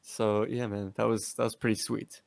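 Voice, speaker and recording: A man's voice: fairly low pitch, little pitch movement, moderate pace, creaky, a little mellow, dry recording. A man hosting a casual, slangy chat about action figures as a collector. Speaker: podcast host and toy collector.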